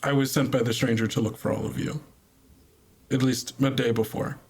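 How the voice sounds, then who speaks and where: A man's voice: medium pitch, fairly even intonation, moderate pace, darkish tone, a little hiss or hum, natural voice. A man playing an impatient, blunt woman driver in a tabletop drama, speaking firmly and scolding. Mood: flatly correcting.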